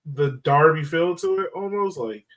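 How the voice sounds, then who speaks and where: A man's voice: on the high side, normal speed, fairly bright tone. A man hosting a sports podcast in casual, chatty, slangy talk. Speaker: podcast host. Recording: voice slightly far from mic.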